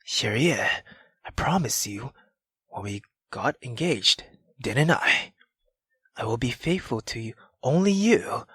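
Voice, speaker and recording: Woman doing a low, weary, irritated husband. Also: expressive, slow pace, fairly bright tone, slightly rough, dry recording, full character voice. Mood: restrained, pleading. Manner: low, restrained, exasperated. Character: irritated, weary aristocratic husband defending himself.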